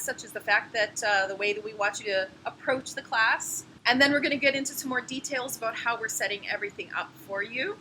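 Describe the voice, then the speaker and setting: A woman's voice: a little high, bright, forceful tone, a little hiss or hum, poor audio. A woman, a professor explaining course logistics in a friendly, explanatory way. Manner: friendly, explanatory, laughs now and then. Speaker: anatomy and physiology professor. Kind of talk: course introduction video.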